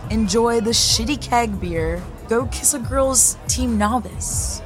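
An actress playing a wry, blunt young college student, teasing and dry, in an audio drama. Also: female voice, on the high side, slow pace. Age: young adult. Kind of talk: scripted audio drama dialogue. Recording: music behind the voice.

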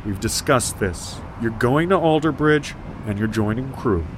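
Domineering rich father, middle-aged, male actor; mid-pitched, lecturing, contemptuous, audio fiction with light road noise behind. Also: lively intonation, measured pace, natural voice. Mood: cold, stern. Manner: cold, lecturing, commanding. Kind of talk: dramatic audio fiction dialogue. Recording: faint car and outdoor noise.

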